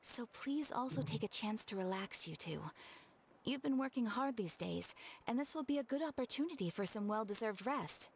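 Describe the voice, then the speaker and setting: A woman's voice: on the high side, lively intonation, normal speed, a little hiss or hum. A woman voicing a courteous, composed guild receptionist in a video game, explaining things politely and reassuringly. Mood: helpful, reassuring.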